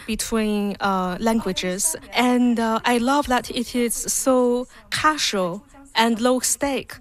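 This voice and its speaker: Thoughtful woman from Beijing. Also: on the high side. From Beijing, China. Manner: thoughtful, reflective, with some fillers. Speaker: event attendee. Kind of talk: vox pop interview.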